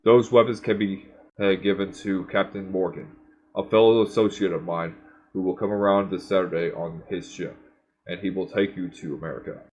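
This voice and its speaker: Man playing a low-voiced, guarded wasteland governor. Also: steady tone, moderate pace, darkish tone, dull audio, natural voice. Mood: firm, bargaining. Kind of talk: scripted game cutscene dialogue.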